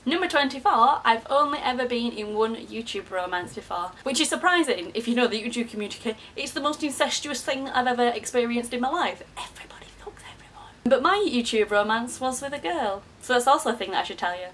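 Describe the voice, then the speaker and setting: A woman's voice: fairly high pitch, a bit bright, slight background noise. A woman in her early twenties talking casually to camera in a self-mocking, chatty vlog, with frequent swearing. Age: 20s. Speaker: YouTuber.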